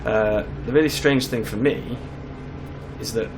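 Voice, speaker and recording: A man's voice: mid-range voice, unhurried, noisy background. A man with a slight lisp chatting casually with many fillers while playing a truck driving game. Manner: rambling and conversational, with many fillers. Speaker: gaming channel host. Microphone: desk microphone.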